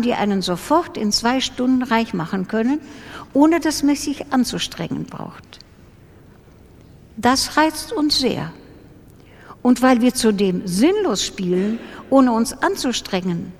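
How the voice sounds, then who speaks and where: A woman's voice: fairly high pitch, lively intonation, takes it slowly, full character voice. A woman playing a wry, self-mocking gambler, reading literary first-person prose in a radio drama. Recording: long hall reverb.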